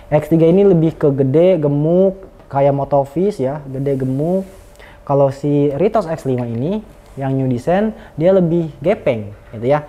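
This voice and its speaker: A man's voice: fairly high pitch, dark tone. A man, giving an informal, slangy, unscripted product review in a chatty way.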